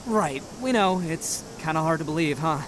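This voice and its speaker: A man's voice: on the high side, expressive, normal speed, noisy background, natural voice. A man playing a brash, blunt teenage boy in a game dub, talking in slangy, informal style. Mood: incredulous, sheepish. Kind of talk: English game dub acting. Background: music.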